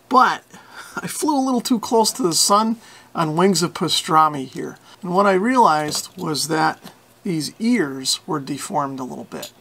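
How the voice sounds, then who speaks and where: A man's voice: high-pitched, bright, forceful tone. A man giving an informal, hands-on repair walkthrough in a folksy, self-deprecating way. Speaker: radio repair hobbyist and video host.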